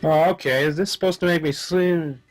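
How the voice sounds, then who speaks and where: A man's voice: a little high, steady tone, a little fast, faint music behind, lightly acted. An actor playing a dim, literal-minded male detective hero in a comic radio play. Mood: naive, earnest.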